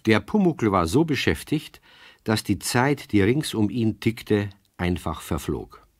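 A storyteller for a children's tale. His voice sits fairly low and moves with a very animated melody. The scripted narration is recorded close and dry. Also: measured pace, no echo. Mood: measured storytelling.

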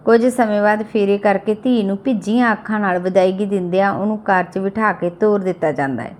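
A woman's voice: mid-range voice, a little fast, darkish tone. A woman reading a scripted, sad family story as its narrator.

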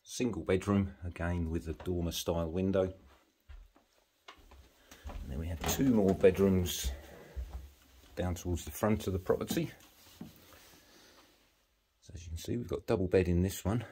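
A man giving a matter-of-fact, halting walk-through commentary as an estate agent, listing features as he moves through a house. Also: low voice, fairly even intonation, warm tone, slight background noise. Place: walking through rooms of a house.

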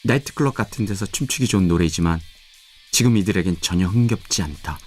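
Brief: male narrator, very deep voice, measured and steady, soft music under. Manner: measured, even storytelling. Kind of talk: scripted audio drama narration.

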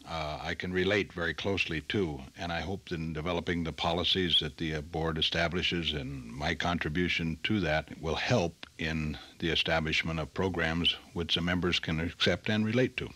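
A man in his forties from Indiana, a farm organization vice president, answering interview questions earnestly with some halting fillers. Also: low-pitched, pushed and bright, no room sound.

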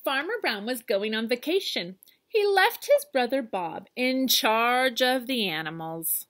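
Animated woman reading a children's storybook. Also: a little high, a little slow. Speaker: teacher reading aloud to children. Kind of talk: expressive children's storybook read-aloud. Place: outdoors on a deck among trees.

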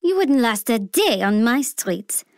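A woman's voice: fairly high pitch, sing-song, a little slow, no room sound, studio quality. A woman voicing a cocky young female street thief in a video game, with a playful, taunting manner in short lines. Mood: cocky, teasing. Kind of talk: video game character voicelines, short barks.

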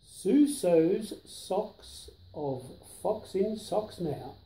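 An older man reading a children's picture book aloud with deliberate, emphatic delivery of the rhymes, recorded in a living room. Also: high-pitched, lively intonation, mellow, echoey room, poor audio. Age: 60s or 70s.

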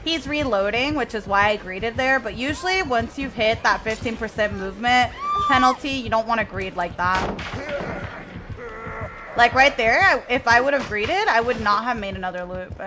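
A woman's voice: a little high, expressive, normal speed, sharp tone, slight background noise. A woman whose first language is Spanish, streaming live gameplay and chatting with her viewers while she plays. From Mexico. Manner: chatty, reads and answers chat while playing.

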